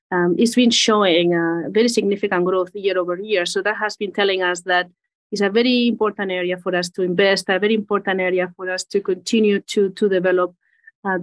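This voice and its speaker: A Spanish-accented woman in her forties speaks in a fairly low voice, answering an interviewer's questions about her work. She chooses her words with care and often repeats a word while finding the phrase she wants. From Spain. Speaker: corporate executive guest.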